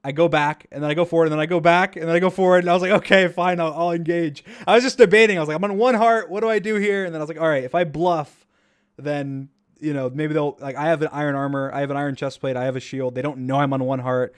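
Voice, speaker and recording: A man's voice: a little high, steady tone, fast, clean tone. A man casually commenting on his own gameplay clips during a stream, rambling and repeating himself with enthusiasm.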